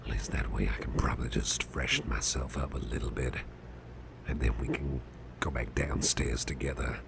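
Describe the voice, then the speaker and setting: A man playing a big, muscular but softened grumpy boss in an ASMR roleplay, speaking in a gravelly, breathy near-whisper with a gentle, slightly formal manner. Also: a bass voice, noisy background, full character voice. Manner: slow, murmuring, gently reassuring, slightly formal.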